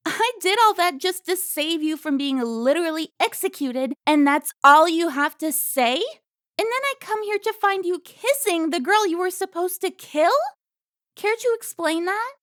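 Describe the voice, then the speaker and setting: A woman's voice: extremely high pitch, animated, normal speed, fairly bright tone, dry recording, studio quality. A woman playing a stern, protective member of a vampire slayer guild in a cinematic audio roleplay, commanding and scolding. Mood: furious, scolding.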